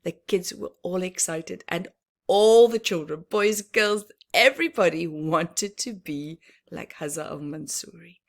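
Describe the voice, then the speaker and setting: A woman reading a picture book aloud for young children, expressively and with chatty asides, pausing between phrases and often dropping to a hushed tone. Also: lowish voice. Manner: deliberate phrasing with pauses, often dropping to a hushed near-whisper.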